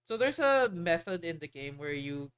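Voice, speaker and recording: A woman's voice: on the low side, sing-song, normal speed, clear, resonant tone. A woman streamer chatting casually while playing a game, rambling and hesitant as she explains things. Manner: rambling, hesitant, many fillers and restarts.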